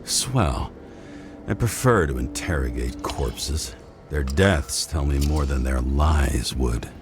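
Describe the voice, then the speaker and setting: Hardboiled noir game detective, super low voice, swings around a lot, sardonic, loud music behind. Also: male voice, animated, slow pace, heavily acted. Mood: brooding, sardonic. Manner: sardonic, world-weary, dry. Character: hard-boiled male noir detective, sardonic and reckless. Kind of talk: noir monologue and dialogue in a video game.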